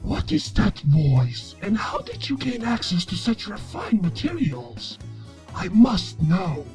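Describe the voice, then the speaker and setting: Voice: medium pitch, wildly swooping pitch, slow pace, darkish tone, soft background music. A performer voicing a curious, wary male mechanic creature in a fan fiction reading, with formal, demanding phrasing. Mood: insistent.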